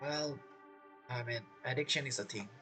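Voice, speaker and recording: Male streamer, informal and invested reaction commentary, medium pitch, soft game music in the background. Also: normal speed. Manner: informal, emotionally involved. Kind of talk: casual gameplay reaction commentary.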